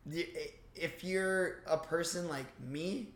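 Man, slightly high pitch, casual podcast co-host, rambling, profane, echoey room sound. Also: takes it slowly, fairly bright tone. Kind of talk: casual movie review chat.

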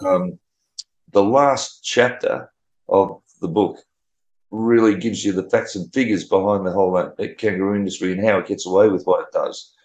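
A man's voice: deep voice, steady tone, normal speed, a little mellow, roomy sound, low-quality recording. A man, an author guest on a radio chat, talking earnestly. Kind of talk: casual radio interview.

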